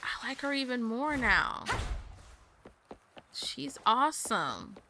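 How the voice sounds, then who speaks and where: A woman's voice: a little high, sing-song, takes it slowly, pushed and bright, slight background noise. A woman hosting a game playthrough, reacting casually and expressively in commentary over the footage. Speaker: gaming channel host.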